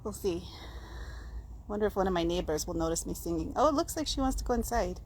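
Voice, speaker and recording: A woman with a Scottish-sounding accent talks casually to a handheld camera at home. Her voice sits at a medium pitch, and she wanders through small self-deprecating asides. There is clear background noise behind her. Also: lively intonation, fast talker, darkish tone. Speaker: home vlogger. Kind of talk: casual rambling chat. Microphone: handheld camera or phone.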